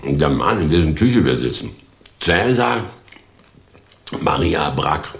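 A boastful, bitter tramp who once trod the boards, in a radio play. His voice is extremely deep, full of creak and recorded very dry. He talks crudely and theatrically, with a sardonic edge. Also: big pitch swings, slow, no room sound, heavily acted. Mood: mocking, ranting. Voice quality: very deep, gravelly, husky. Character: bitter, boastful down-and-out former East German actor. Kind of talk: German radio play acting.

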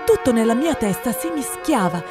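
A woman's voice: on the high side, sing-song, normal speed, over music. An actress playing a wistful, dreamy, overweight young boy who confides his story in a radio drama monologue.

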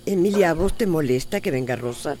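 A woman playing a proud, stubborn elderly mother-in-law with a Costa Rican accent, curt and sharp-tongued, in a radio drama. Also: sits low, animated, brisk, a little hiss or hum. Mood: weary. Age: older.

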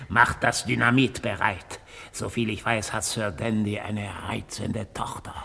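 An actor playing an irascible, scheming gangster boss in a 1950s radio play, blustering and menacing in an echoing hall. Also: male voice, on the low side, steady tone, takes it slowly, vocal fry, sharp tone, exaggerated voice. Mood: scheming, gloating.

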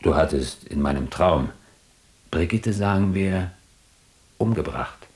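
A man's voice: sits low, expressive, slow pace, darkish tone, roomy sound, slight background noise. A man acting a wry, bookish East German drinker in a radio play, storytelling with mock-learned irony. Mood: dreamy wry. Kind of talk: radio play narration and dialogue.

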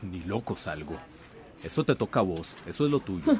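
A man's voice: low voice, very animated, normal speed, a little mellow. A man playing a nervous, irritated company boss in a radio drama, grumbling with exasperation. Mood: refusing.

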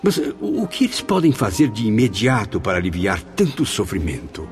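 A man's voice: lowish voice, wildly swooping pitch, normal speed. A man acting an anguished, compassionate male spirit in a radio drama, pleading and lamenting with dramatic delivery. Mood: troubled, earnest. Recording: music under the voice. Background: background music.

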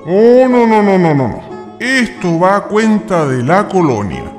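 Guy playing an apologetic gent, hesitant, slightly high lively voice, music behind, radio drama. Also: a little slow. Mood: reluctant, generous. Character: apologetic, reluctant but generous gentleman helper. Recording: long hall reverb.

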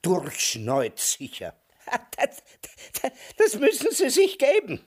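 Querulous old Austrian man, wildly swooping pitch. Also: huge pitch swings, slow pace, husky, full character voice. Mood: aggrieved. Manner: querulous, declaiming, swooping from mutter to outburst. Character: querulous, ranting old man, would-be novelist. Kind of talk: radio play dialogue.

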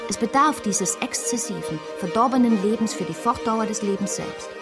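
A reflective woman played by an actress narrates her past in literary radio-drama style. She sounds devoted but uneasy, with a middle-pitched voice and clear music behind her. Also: normal speed, lightly acted. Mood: grave, reflective.

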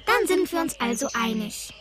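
A woman's voice: sits high, big pitch swings, moderate pace, noisy background. An actress playing a hard, demanding woman in a German science fiction radio drama, curt and insistent. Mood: cold, insistent.

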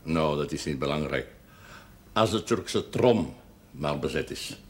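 An actor playing an older, jovial and commanding village parish priest in a television drama. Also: male voice, very deep voice, animated, a little slow, full character voice. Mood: brisk, jovial. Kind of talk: naturalistic television drama acting.

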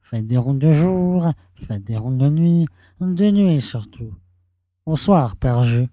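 An actor playing a self-important, peremptory village mayor in an audio drama. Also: male voice, medium pitch, expressive, slow, dark tone, no room sound, studio quality. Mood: commanding. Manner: peremptory, repeats his orders. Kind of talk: French audio drama acting.

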